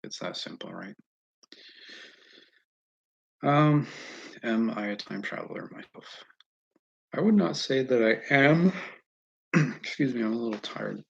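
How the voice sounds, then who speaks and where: An American man chatting casually and haltingly on a livestream, often restarting his sentences as he thinks aloud. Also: medium pitch, animated, creaky, slightly rough, muffled recording. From Northeast United States, mostly Massachusetts. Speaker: livestream host answering viewer comments. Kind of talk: casual rambling livestream chat.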